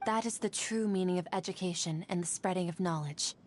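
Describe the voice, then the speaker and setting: A woman's voice: fairly low pitch, brisk, slight background noise, close to an everyday voice. A woman playing an earnest, idealistic scholar and teacher in a video game, polite and articulate. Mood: earnest, hopeful. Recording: music under the voice.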